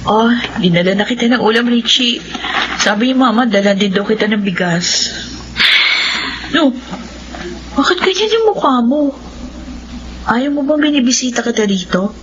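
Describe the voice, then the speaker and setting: A woman's voice: a little high, normal speed, pauses often, dull audio, music in the background, close to an everyday voice. An actress playing a caring, teasing, stubborn young woman, a daughter and older sister, in a radio drama. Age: young adult. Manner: playful, expressive, affectionate.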